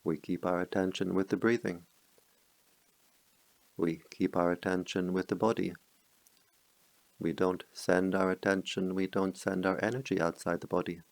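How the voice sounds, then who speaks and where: A middle-aged man, a meditation teacher, guiding a slow, calm meditation in a softly spoken voice with long pauses. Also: vocal fry, dry recording. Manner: chants Pali verses in a low murmur. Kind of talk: guided meditation.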